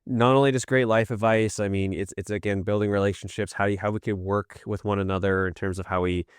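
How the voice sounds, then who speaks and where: A man's voice: fairly low pitch, level tone, quick pace, smooth, dry recording, studio quality. A man hosting an interview, affirming his guest and following up. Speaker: podcast host. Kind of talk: interview chat.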